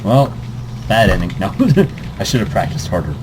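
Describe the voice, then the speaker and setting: A man's voice: on the low side, sing-song, moderate pace, background noise. A young man hosting a let's play, reading a visual novel aloud with joking asides and fillers.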